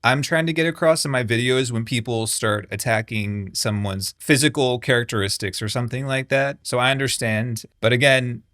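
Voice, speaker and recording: A man's voice: medium pitch, normal speed, few pauses, fairly bright tone, dry recording, clean studio sound. A man giving blunt, sarcastic reaction commentary, swearing freely. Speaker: commentary YouTuber.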